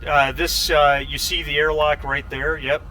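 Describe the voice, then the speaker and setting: A man's voice: fairly high pitch, level tone, unhurried, bright, forceful tone, a little hiss or hum. A man running a tabletop role-playing game online as game master, casual and hesitant, often repeating phrases as he thinks. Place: home, online group voice call.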